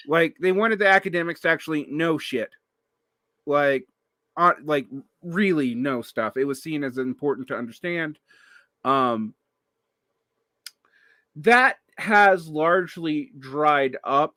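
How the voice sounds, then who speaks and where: A man's voice: a little high, fairly bright tone, dry recording. A man hosting a casual interview, talking in a rambling way with many fillers and restarts. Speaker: podcast host.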